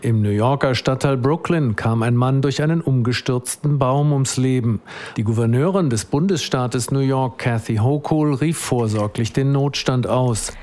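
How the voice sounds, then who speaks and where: A man's voice: medium pitch, moderate pace, darkish tone. A man reporting for radio news as a foreign correspondent, delivering the facts steadily.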